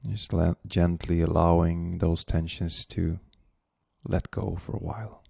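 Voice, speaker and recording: Male meditation guide, gentle and very slow, long pauses, close dry sound. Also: sits low, little pitch movement, vocal fry, husky, no room sound.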